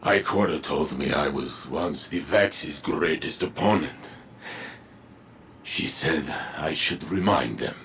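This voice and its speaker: A man playing a solemn, resolute heroic warrior in a video game, speaking in weighty, deliberate phrases. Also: extremely low pitch, a bit bright, slight background noise, exaggerated voice. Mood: solemn resolve. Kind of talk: video game character dialogue. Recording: game music behind the voice.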